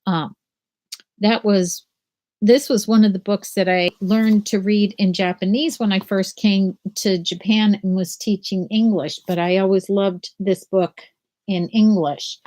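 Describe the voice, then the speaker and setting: An older woman teaching children from home over a webcam, explaining a picture book and then reading it aloud in a gentle sing-song. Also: medium pitch, fairly even intonation, unhurried. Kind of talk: children's read-aloud lesson. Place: small room at home. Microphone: laptop webcam video call.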